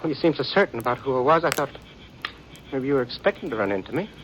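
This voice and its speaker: An American actor playing a nervous, defensive outlaw in an old radio western, stammering and wheedling in folksy rural speech. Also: male voice, mid-range voice, very animated, fast talker, a bit bright. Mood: anxious pleading. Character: nervous, defensive outlaw turned informer, pleading for protection. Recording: noisy vintage radio drama recording.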